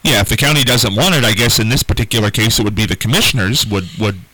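A man with a deep voice hosting a call-in radio talk show in a relaxed, conversational way, from a small carpeted studio. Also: fast, dry recording, poor audio. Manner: relaxed, conversational, takes calls.

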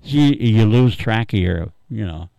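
Rambling elderly radio host. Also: male voice, on the low side, lively intonation, creaky, warm tone, smooth, dry recording, highs cut off. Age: 80s. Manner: rambling, halting, chuckling storyteller. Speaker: radio talk host taking callers. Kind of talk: casual, unscripted reminiscing and chat.

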